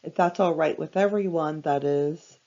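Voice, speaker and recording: A woman voicing a gloomy, humble donkey with a rumbling voice in a storybook. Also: moderate pace, warm tone, highs cut off. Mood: meek, glum.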